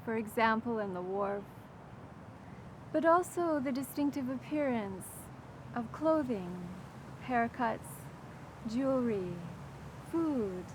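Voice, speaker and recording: An older woman presenter giving a prepared, formal introduction with measured, deliberate phrasing. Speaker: event presenter introducing an author. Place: outdoor garden by a brick wall. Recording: rumbly outdoor background noise.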